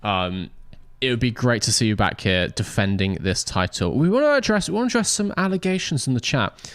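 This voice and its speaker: A man's voice: medium pitch, big pitch swings, a little fast, a bit bright, dry recording, clean studio sound. A man hosting a livestream and casually interviewing racers after an event. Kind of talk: casual post-race interview.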